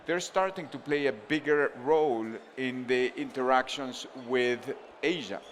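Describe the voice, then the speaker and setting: A man's voice: mid-range voice, unhurried, a little hiss or hum. A man from Argentina speaking on a conference panel, thinking aloud with frequent restarts and fillers.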